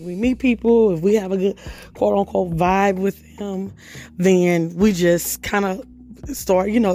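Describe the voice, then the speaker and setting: A woman hosting a devotional podcast, talking hoarsely in a casual, conversational way and reading scripture aloud. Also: medium pitch, a little mellow. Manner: conversational, reads scripture aloud, chatty fillers. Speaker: podcast host.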